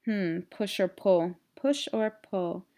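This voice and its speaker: A woman's voice: medium pitch, slow. A woman teacher reading a children's storybook aloud expressively and putting simple questions to young listeners. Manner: expressive, instructional, addresses young listeners directly.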